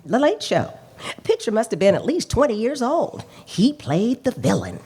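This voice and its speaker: A woman's voice: on the high side, very animated, normal speed, mellow, breathy edge, exaggerated voice. A woman playing a feisty, talkative and opinionated witness in a radio police drama, speaking in emphatic, clipped sentences. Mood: chatty, wistful. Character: feisty, talkative female check-forgery witness, indignant and opinionated. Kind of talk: live radio play acting, police drama.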